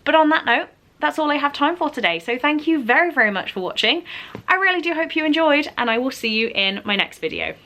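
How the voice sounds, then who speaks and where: A woman's voice: high voice, animated, fairly quick, sharp tone, slight background noise. A woman book vlogger making an upbeat, casual announcement to her viewers in a chatty, enthusiastic way. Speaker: book vlogger and read-along co-host.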